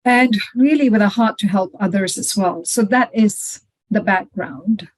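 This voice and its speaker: A woman's voice: medium pitch, unhurried, low-quality recording. Middle-aged woman from malaysia, guest on a podcast, thoughtful storyteller, lots of you-knows. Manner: reflective, frequent "you know" fillers. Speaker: podcast guest, head of legal. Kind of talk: conversational storytelling.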